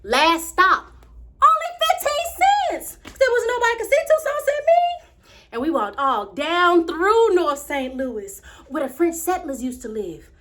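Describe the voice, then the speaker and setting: Woman playing a proud excitable little american girl telling a story onstage, high voice, swoops all over, super dramatic. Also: measured pace, slight background noise, heavily acted. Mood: dreamy, thrilled. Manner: dramatic, wide swings from hushed confiding to exclaiming. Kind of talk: theatrical monologue, animated storytelling.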